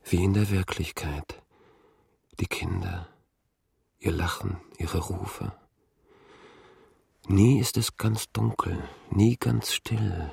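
Radio play: a brooding man plagued by memories, very low and creaky, introspective, fragmentary delivery. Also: steady tone, slow, pauses often, a little mellow, dry recording, studio quality, full character voice. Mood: subdued. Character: haunted man troubled by intrusive, unexplained memories. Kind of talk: radio drama interior monologue. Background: music.

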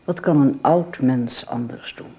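A woman playing a lonely, dignified older mother-in-law in a radio drama, with a measured, genteel manner. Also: extremely low pitch, dark tone, lo-fi sound. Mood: reserved, resigned. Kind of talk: naturalistic radio drama acting.

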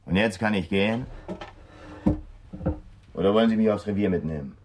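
An actor playing a defiant, sardonic militant suspect under police questioning in a radio drama, mocking and provocative. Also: male voice, on the low side, normal speed, darkish tone, a little hiss or hum, lightly acted. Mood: cool, taunting. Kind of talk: German radio drama acting.

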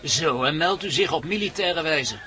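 An actor playing a cocky, mocking adventurer who leads a rescue, sardonic and commanding, in a radio drama. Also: male voice, a little high, expressive, moderate pace, a crowd in the background, natural voice.

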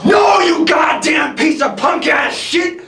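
A man's voice: extremely high pitch, takes it slowly, gravelly, pushed and bright, shouting. A man screaming aggressive, profane threats on an amateur recording. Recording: echoey room with crowd noise.

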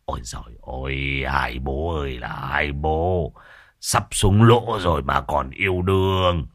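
A man's voice: a bass voice, a little slow, no room sound, studio quality, pitched below the performer's own voice. A man voicing a timid, grumbling old village man who fusses and whines. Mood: fussing. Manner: whining, fussing.

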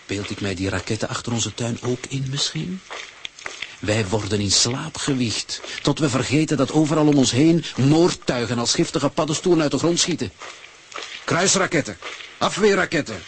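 A man's voice: mid-range voice, normal speed, a little hiss or hum, natural voice. A man acting in a radio drama as a stubborn, suspicious husband, grumbling and emphatic. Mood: alarmed, suspicious. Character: stubborn, suspicious husband railing against propaganda.

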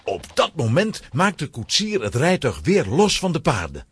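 Husky, highly animated male storyteller. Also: normal speed, dry recording. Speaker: narrator. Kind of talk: scripted children's story narration.

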